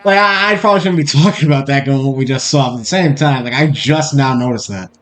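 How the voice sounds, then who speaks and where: A man's voice: mid-range voice, fast, fairly bright tone, soft background music. Rambling Boston-accented young man. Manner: rambling, self-correcting chatter. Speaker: let's play host. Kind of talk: casual gameplay commentary.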